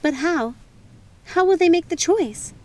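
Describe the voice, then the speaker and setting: A woman's voice: very high-pitched, expressive, unhurried, a little mellow, pitched above the performer's own voice. A woman voicing a distraught young woman in a storybook, pleading and defiant with urgent, impassioned delivery. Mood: pleading, bewildered.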